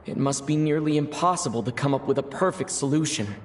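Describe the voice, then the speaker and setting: Man voicing a calm, reflective young traveler. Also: fairly high pitch, a little hiss or hum, close to an everyday voice. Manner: measured and reflective. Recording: game dialogue with faint ambience.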